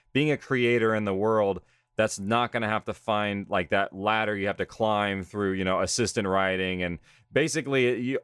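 A man's voice: fairly low pitch, fairly bright tone, clean tone, dry recording, studio quality. A man speaking in a documentary interview as a writer, director and creator of audio drama. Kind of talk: documentary interview about their own work.

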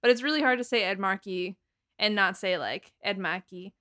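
A woman's voice: mid-range voice, normal speed, a bit bright. A young woman from Massachusetts with an American accent, chatting casually and informally as a podcast co-host. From Massachusetts, United States. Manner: conversational, informal, frequent "like". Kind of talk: casual political podcast chat.

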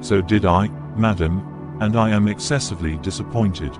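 An actor playing a scheming Victorian detective in an audiobook, with formal, courteous speech. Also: male voice, on the low side, moderate pace, lightly acted. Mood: polite regret. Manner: formal, polite. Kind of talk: dramatized audiobook character acting. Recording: clear music bed behind voice.

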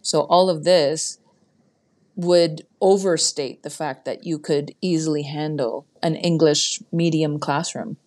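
A woman hosts a casual podcast interview. Her voice sits very low for a woman, and she strings long, eager questions together, chatting freely. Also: steady tone, slow, darkish tone. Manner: enthusiastic, asks long, winding questions. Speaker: podcast host.

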